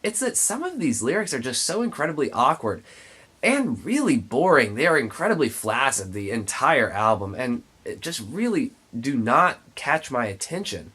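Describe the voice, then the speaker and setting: A man hosts a spoken music review in a stuffy, nasal voice. His pitch is middling but moves around in a very lively way. He talks emphatically and states his opinions plainly. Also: moderate pace, slightly rough. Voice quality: congested, nasal. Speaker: music reviewer, show host. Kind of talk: spoken album review to camera.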